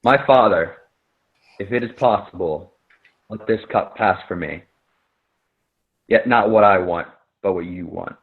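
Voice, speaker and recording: A man's voice: low voice, measured pace, pauses often, phone-quality sound. A man playing a solemn teacher who foretells his death, in a readers theater scripture reading. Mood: sorrowful.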